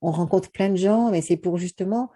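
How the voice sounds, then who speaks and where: Woman host from France, very mellow dark tone, earnest, chatty, lots of fillers. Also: on the low side, moderate pace. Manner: earnest, talkative, many fillers. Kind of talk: casual conversation.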